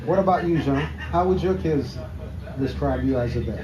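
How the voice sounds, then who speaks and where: A man from Haiti with a Haitian accent, hosting a casual golf podcast interview in a lively, laughing way. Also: on the high side, moderate pace, crowd noise, low-quality recording. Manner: animated, laughs easily, says amen. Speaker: podcast host. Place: country club patio. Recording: outdoor patio with music behind.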